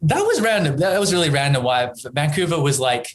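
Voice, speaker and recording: A man's voice: a little high, fairly quick. Rambling Australian man in his thirties. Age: 30s. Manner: relaxed, rambling, full of ums and you-knows. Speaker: guest. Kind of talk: casual interview chat.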